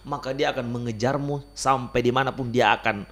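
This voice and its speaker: A man from South Sulawesi with a Makassarese accent, chatting casually as a podcast guest in an animated, punchy way. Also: medium pitch, brisk. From Bulukumba, South Sulawesi, Indonesia. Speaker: guest content creator.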